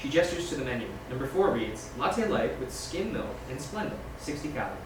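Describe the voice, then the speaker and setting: Fast-reading man in a very echoey room. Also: on the high side, big pitch swings. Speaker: reader of scene directions. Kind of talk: scripted narration at table read. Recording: distant, very echoey room with background noise.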